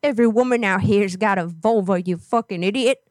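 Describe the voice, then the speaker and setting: A woman's voice: mid-range voice, fairly quick, a little mellow, no room sound, clean studio sound, natural voice. A woman voicing a foul-mouthed, angry old woman prank caller who rambles threats and curses. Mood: furious. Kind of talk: prank phone call.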